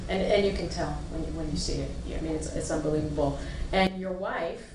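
A woman's voice: sits low, brisk. A woman interviewing actors, asking questions in an enthusiastic way. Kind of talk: press junket interview. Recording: echoey room, distant mic, noisy.

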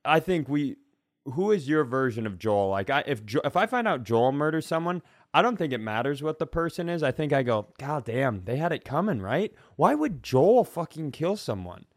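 A man's voice: a little high, big pitch swings, normal speed, dry recording, studio quality. A man co-hosting a comedy podcast, chatting casually in a rambling, sweary, story-driven way. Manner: rambling, conversational storytelling with profanity. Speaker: podcast co-host. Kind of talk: casual comic banter.

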